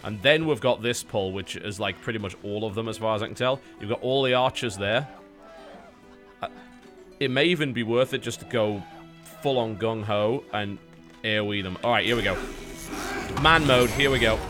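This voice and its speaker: A man with an English accent and a lowish voice talks steadily through a video game, explaining his tactics as he plays. He swears casually now and then. Game music plays clearly behind him. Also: normal speed, long pauses. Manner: analytical, explains tactics while playing, occasional swearing. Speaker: streamer playing a game. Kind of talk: live gameplay commentary.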